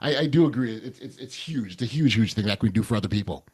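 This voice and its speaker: A middle-aged man with a loud voice hosting a podcast, talking earnestly with frequent restarts and repeated words. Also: medium pitch, expressive, fast, breathy edge. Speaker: podcast host. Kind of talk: casual interview chat.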